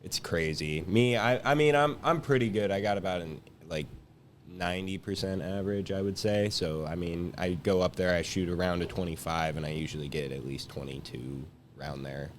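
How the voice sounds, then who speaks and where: Low-voiced teenage guy, some background noise. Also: moderate pace. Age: late teens. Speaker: student guest. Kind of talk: casual chat.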